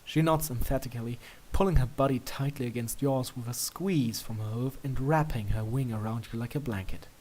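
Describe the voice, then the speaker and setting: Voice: medium pitch, a little fast, darkish tone, a little hiss or hum, poor audio. A narrator reading a scripted story aloud.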